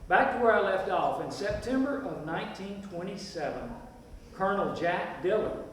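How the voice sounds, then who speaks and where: A man's voice: high voice, animated, moderate pace. A man playing the storytelling narrator of a historical stage play, addressing an audience in scripted lines. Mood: recounting. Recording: echoey hall from far off, faint noise.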